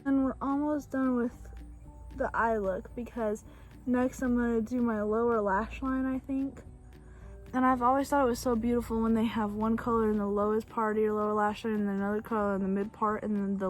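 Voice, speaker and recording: A young woman whose first language is Bulgarian, chatting casually and rambling through a makeup tutorial on a back porch, filmed on a phone. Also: mid-range voice. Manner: chatty, rambling, self-deprecating. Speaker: beauty vlogger. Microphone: phone camera.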